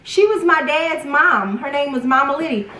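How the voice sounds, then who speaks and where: A young woman telling a personal story casually, with animated, dramatic retelling and quoted dialogue. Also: high-pitched, normal speed. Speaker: vlog storyteller. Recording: roomy echo, distant from mic.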